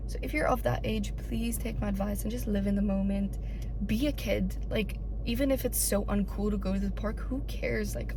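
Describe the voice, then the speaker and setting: A woman's voice: medium pitch, a little hiss or hum, poor audio. A nineteen-year-old woman vlogging on a phone, talking casually and emotionally with lots of "like" fillers. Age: late teens. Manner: chatty, emotional, full of "like" fillers. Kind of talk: casual vlog chat. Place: outdoors near a road.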